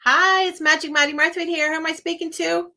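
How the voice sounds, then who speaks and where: A woman's voice: very high voice, fairly even intonation, brisk, pushed and bright. A British woman hosting a live phone-in as a psychic medium, chatting casually through a reading and often checking that the caller understands.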